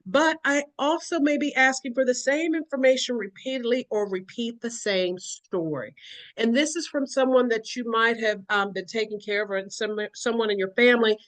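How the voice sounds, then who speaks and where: A woman's voice: a little high, moderate pace. Woman, educator, earnest explanatory talk, acts out examples.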